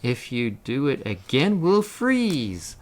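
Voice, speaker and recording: A man's voice: mid-range voice, huge pitch swings, takes it slowly. A man voicing a worried, pleading little fish in a children's storybook.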